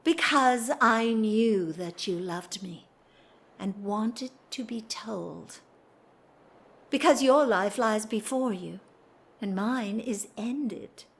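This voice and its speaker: An actress playing a dignified married society woman in love, speaking formally and earnestly in a period audio drama. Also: mid-range voice. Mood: fervent confession. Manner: formal, earnest, theatrical, measured delivery.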